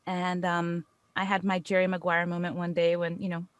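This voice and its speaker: An American woman chatting casually as a podcast guest, telling anecdotes in an animated, self-deprecating way. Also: fairly low pitch, normal speed, clean tone, no echo. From United States. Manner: animated storyteller, self-deprecating, acts out quotes. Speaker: podcast guest, voice actor and business owner.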